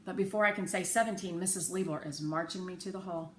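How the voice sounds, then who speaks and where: A woman narrating a children's story aloud in a measured, clearly phrased way. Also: fairly low pitch, fairly bright tone. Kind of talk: children's audiobook-style storytelling. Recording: roomy echo with faint background noise.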